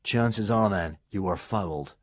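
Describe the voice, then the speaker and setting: A man voicing an excitable teenage boy in an audiobook, jokey, incredulous and mock-grumpy. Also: fairly low pitch, measured pace, no echo, studio quality. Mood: mock grumbling.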